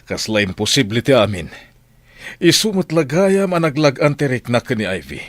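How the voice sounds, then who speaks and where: A man's voice: medium pitch, very animated, moderate pace, no echo, lightly acted. A man acting a concerned male character in a Filipino family radio drama. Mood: troubled, resolute.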